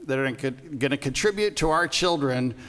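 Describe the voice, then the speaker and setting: A man's voice: mid-range voice, animated, moderate pace, a bit bright. A man from Puerto Rico speaking on a panel, telling anecdotes in a wry, conversational way. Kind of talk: panel discussion. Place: event hall. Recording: long reverb of a hall.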